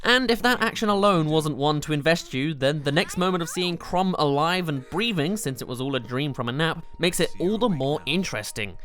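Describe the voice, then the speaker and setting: A narrator presenting a scripted countdown review with emphatic, expressive delivery. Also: medium pitch, a little fast, few pauses. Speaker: video game reviewer, host.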